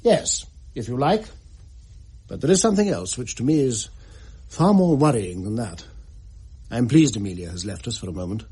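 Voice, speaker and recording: Grave posh gent in a ghost story radio play, husky, expressive, very dry close sound. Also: male voice, medium pitch, dry recording. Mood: grave, concerned. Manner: formal, measured. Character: grave upper-class gentleman brother recounting a dark legend. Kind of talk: period ghost story audio drama.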